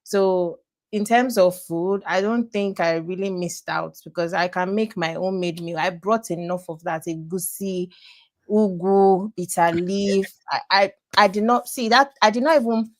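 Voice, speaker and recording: A woman's voice: mid-range voice, measured pace. A young woman with a Nigerian accent, a student guest on a podcast, speaking candidly with emphatic repeats. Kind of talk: casual podcast interview.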